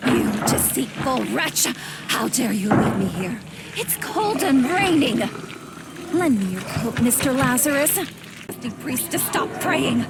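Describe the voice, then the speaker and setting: High, slow-spoken possessed woman. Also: very animated, over loud music, heavily acted. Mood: scornful, commanding. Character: possessed, seductive, devoted woman, servant of a dark master. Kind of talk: dramatic video game voice acting.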